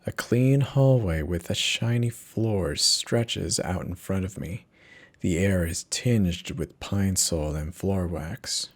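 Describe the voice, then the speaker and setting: A man giving a theatrical, intense first-person dramatic reading as a horror story narrator. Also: low voice. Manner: theatrical, measured, intense delivery.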